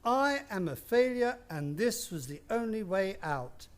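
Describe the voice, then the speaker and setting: An actor playing a miserly, menacing older stepfather in a suspense radio play, speaking with deliberate mockery. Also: sits high, animated. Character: sinister, miserly, taunting wealthy stepfather, older man.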